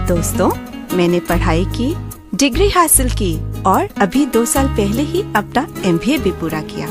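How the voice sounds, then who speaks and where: Woman reading as confident thirties indian lady narrating her life on radio, highish lively voice, music under it. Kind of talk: scripted first-person radio narration.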